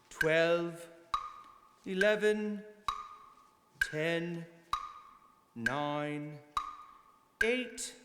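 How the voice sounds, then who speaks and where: A man playing an eager gentleman gambler in a 1930s-style radio play. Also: high-pitched. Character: eager gentleman card player counting down. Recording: echoing hall.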